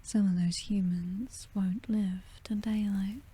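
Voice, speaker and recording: A woman with a British accent playing a possessive, seductive vampire mistress in a whispered, breathy ASMR roleplay, doting yet condescending and coolly menacing. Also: medium pitch, fairly even intonation, slow, warm tone. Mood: silky, possessive.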